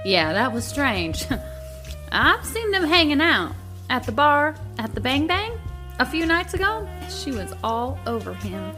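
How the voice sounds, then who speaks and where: Woman playing sassy older waitress, high and animated. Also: unhurried, exaggerated voice. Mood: gossipy, catty. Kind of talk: audio drama acting. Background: music.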